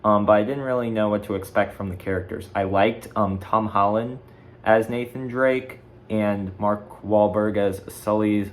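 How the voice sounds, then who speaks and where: A teenage boy with a fairly low voice talks casually as the host of his own video. He rambles and corrects himself as he goes, like someone chatting off the cuff to viewers. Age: teens, fifteen. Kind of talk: casual chat ranking video game movies.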